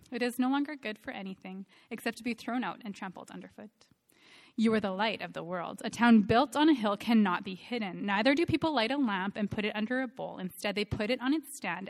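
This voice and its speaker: A woman's voice: mid-range voice, vocal fry. A woman in her thirties giving a church talk on mission, reading scripture aloud in an earnest, emphatic way. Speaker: missionary speaker presenting to a church.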